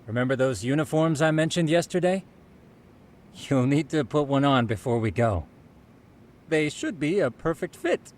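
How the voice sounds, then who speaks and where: A man playing a polite, meticulous, quietly smug tech investigator in a video game dub, with formal, precise, wordy speech. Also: fairly high pitch, animated, normal speed, a little hiss or hum, close to an everyday voice. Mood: courteous, pleased.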